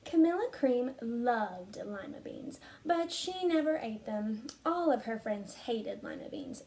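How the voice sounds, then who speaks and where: A woman speaking as a teacher, explaining and reading aloud in an expressive, instructive way. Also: sits high. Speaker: teacher and reading program fellow. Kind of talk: explanatory talk, then storybook read-aloud.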